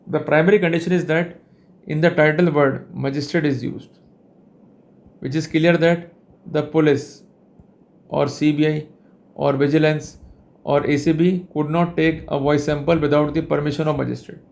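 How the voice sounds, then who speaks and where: A man with an Indian accent speaks as a lecturer, in a somewhat high voice. His delivery is formal and deliberate, explaining step by step and leaning on repeated linking phrases. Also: steady tone, vocal fry, a little mellow. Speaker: legal lecturer.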